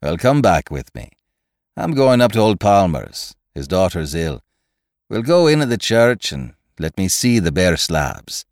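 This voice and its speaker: A man's voice: deep voice, very animated, measured pace, no echo, heavily acted. Guy doing big blunt irish doctor from a storybook, scoffing, voice swings up and down, dry close sound. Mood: amused scoffing. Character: burly, hearty, mocking Irish doctor, bluff and commanding.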